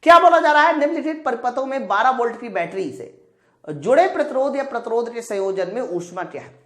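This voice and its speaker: A man's voice: extremely high pitch, very animated, brisk, pushed and bright. A man teaching an exam-prep physics class, working through questions aloud, emphatic and repetitive, often putting questions to his students. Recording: roomy, echoing sound.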